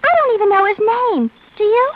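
A high, prim, naive radio dame. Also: female voice, a little fast. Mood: nervous, chirpy. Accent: American. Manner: affectedly polite, genteel. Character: prim, naive, chirpy dame who fancies herself refined. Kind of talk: 1940s-50s radio comedy-adventure acting. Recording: dull old radio recording, faint noise.

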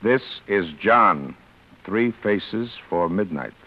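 A tough little older boss in a forties radio crime play. His voice sits low, and he talks in curt, needling, bossy bursts. The old recording sounds dull and boxed in. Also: expressive, slow, exaggerated voice. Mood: sly, approving. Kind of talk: 1940s radio drama acting.

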